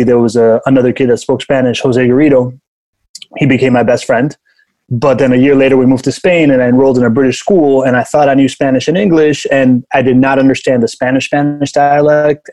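A man's voice: mid-range voice, darkish tone, no echo, low-quality recording. A man from Miami, a podcast guest, talking in an upbeat, casual way and calling people man. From Miami, born in Venezuela. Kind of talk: casual interview talk.

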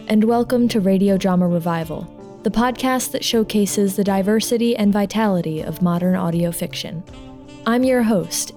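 A woman's voice: mid-range voice, darkish tone. A woman reading a scripted podcast introduction as the show's line producer. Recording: voice over music. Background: music.

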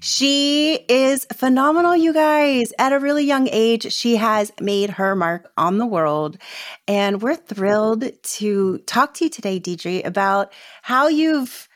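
A woman's voice: on the high side, slow, no room sound, studio quality. A woman co-hosting a podcast who talks in a gushing, enthusiastic way and laughs often. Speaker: podcast co-host. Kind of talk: upbeat podcast intro and interview.

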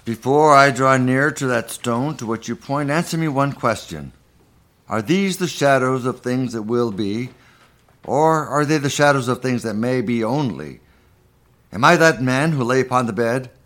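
A man's voice: mid-range voice, normal speed, fairly bright tone, lightly acted. A man playing a repentant old miser in a live radio play of a Victorian story, earnest, emphatic and theatrical. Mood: fearful pleading. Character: repentant old miser, pleading, then giddily joyful.

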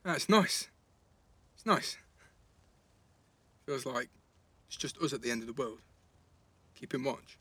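Bright husky teasing teenage lad. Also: male voice, medium pitch, lively intonation, a little slow, pauses often, dry recording, full character voice. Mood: soft, teasing. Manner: blunt, teasing, slangy. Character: cheeky, restless teenage schoolboy in care, teasing. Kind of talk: naturalistic audio drama dialogue.

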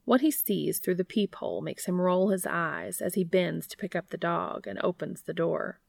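A woman reading scripted fiction aloud as a story narrator, with a creaky voice. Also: lowish voice, normal speed, no echo, clean studio sound. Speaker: audiobook narrator of fan fiction.

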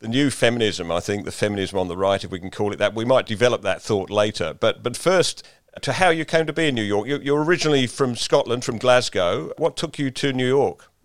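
Measured male interviewer, dry sound. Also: mid-range voice, no room sound. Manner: measured, deliberate, stammers on openings. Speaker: interviewer and columnist. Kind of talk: interview questions.

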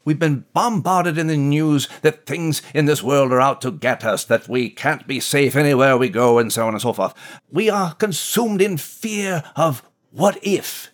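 A man's voice: fairly high pitch, a little slow, close to an everyday voice. A man voicing a genteel, scholarly talking mouse who chats thoughtfully in old-fashioned, erudite phrases. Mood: musing, animated. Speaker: co-host in character. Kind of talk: conversational devotional discussion in character.

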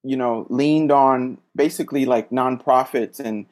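A man who works as a social worker, a guest in a casual conversation, speaking thoughtfully and hesitantly with frequent pauses and fillers. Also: medium pitch, a little mellow.

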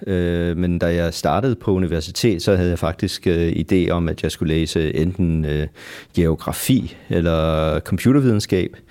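Halting deep-voiced male professor. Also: dark tone. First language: English. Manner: halting, many øh fillers. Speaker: professor guest. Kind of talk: interview answers.

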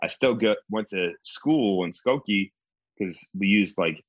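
Deep-voiced man rambling in casual chat. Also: measured pace, breathy edge, no room sound, highs cut off. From Chicago area, USA. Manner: rambling, digressive storytelling with lots of "like". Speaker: guest comedian.

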